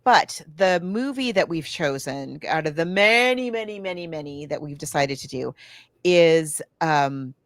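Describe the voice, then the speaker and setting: A woman's voice: sits low, expressive, a little slow, no echo, clean studio sound. A woman co-hosting a podcast, chatting casually and with gushing enthusiasm about films and books. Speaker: podcast co-host. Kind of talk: casual film and book discussion.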